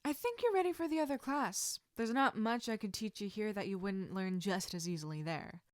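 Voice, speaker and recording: Woman voicing a calm, amused martial arts teacher. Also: mid-range voice, fairly quick, no echo, clean studio sound, lightly acted. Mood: calm, reassuring. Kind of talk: audiobook-style character dialogue.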